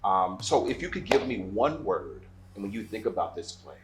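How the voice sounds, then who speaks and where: A man hosting a casual interview at a table with guests, upbeat and quick to repeat his praise. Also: fairly low pitch, sing-song, noisy background. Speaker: interviewer, magazine host.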